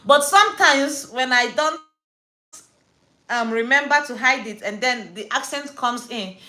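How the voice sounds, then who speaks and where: A Nigerian-accented woman hosts a casual live stream from her home, talking into her phone. Her voice sits high and lively, with a bright, clear edge. She tells her stories in a chatty, animated way and drops pidgin slang. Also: measured pace. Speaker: livestream host.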